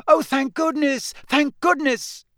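A woman's voice: steady tone, slow pace, no room sound, clean studio sound. A man putting on a frantic tearful mother. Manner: high, tearful, pleading. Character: frantic worried mother, middle-aged woman.

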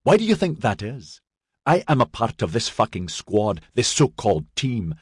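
A man's voice: on the low side, sing-song, normal speed, husky, no echo, studio quality. A man voicing an embittered, hot-tempered young male constable in an audiobook, with a harsh, sarcastic, biting manner. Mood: furious, bitter.

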